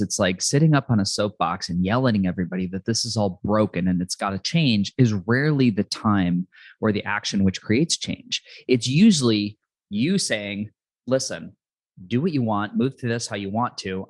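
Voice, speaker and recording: A man's voice: fairly low pitch, no echo. A man hosting a podcast interview, talking in an earnest, reflective way in long run-on thoughts. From New York City. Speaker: podcast host. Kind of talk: casual interview chat.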